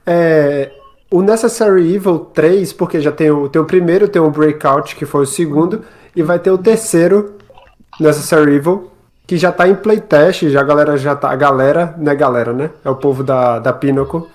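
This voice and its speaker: A man's voice: on the high side, normal speed, a little mellow. A man co-hosting a live podcast, explaining things in casual conversation. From Salvador, Brazil. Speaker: podcast co-host.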